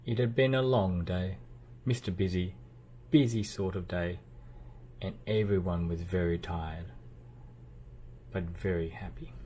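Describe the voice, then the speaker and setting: A man reading a children's storybook aloud as narrator, with expressive delivery and playful emphasis. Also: low voice, slight background noise. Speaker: children's book reader.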